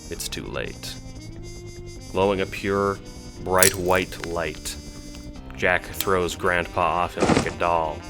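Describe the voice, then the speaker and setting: A man reading scripted, dramatic second-person narration as the narrator of an audio drama. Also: sits low.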